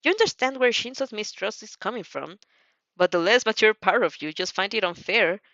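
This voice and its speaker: A woman's voice: mid-range voice, expressive, brisk, fairly bright tone, dry recording, dull audio. A woman narrating fanfiction expressively, dropping in casual asides as she goes.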